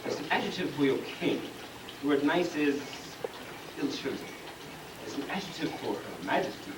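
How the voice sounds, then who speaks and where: A man's voice: medium pitch, animated, normal speed, close to an everyday voice. A man playing a pompous, exasperated storybook king in a stage comedy, speaking with dry deliberation. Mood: pompous, reasoning. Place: school stage, live play performance. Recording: distant, noisy, echoey room recording of play.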